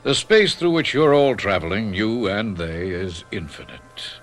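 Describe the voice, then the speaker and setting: An actor playing a calm spirit guide who speaks in measured tones in a radio drama. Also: male voice, on the low side, a little hiss or hum.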